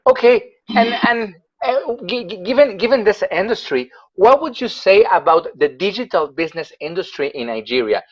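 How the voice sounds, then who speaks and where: Chatty interview host, dull call audio. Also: male voice, high-pitched, expressive, moderate pace, few pauses. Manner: friendly, chatty, laughs often, stumbles over phrasing. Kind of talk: casual remote interview.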